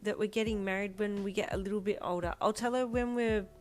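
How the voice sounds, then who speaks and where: A woman's voice: medium pitch, steady tone, quick pace, a little mellow, voice pushed up, natural voice. A woman voicing a proud, determined small boy in a story, eager and childlike. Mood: earnest. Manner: eager, childlike, matter-of-fact.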